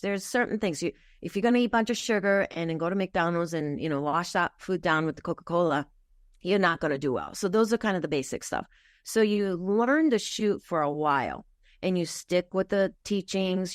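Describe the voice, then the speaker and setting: A middle-aged woman who grew up in Japan speaks as a guest on a wellness show, with a fairly low voice. She explains ideas earnestly and step by step, padding them with fillers. The sound is dry and close. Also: dry recording. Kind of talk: explanatory interview talk.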